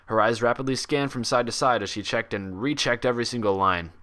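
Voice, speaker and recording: A man's voice: mid-range voice, fairly quick, a bit bright. Man narrating a dramatic story. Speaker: fan fiction reader.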